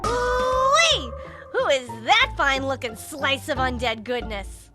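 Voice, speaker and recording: A woman's voice: sits high, animated, takes it slowly, loud music behind the voice. A woman voicing a brazen, spirited, flirty witch in a video game, sassy and full of innuendo. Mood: flirty, playful. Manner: sassy, innuendo-laden, exclaiming. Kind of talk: cartoonish video game acting.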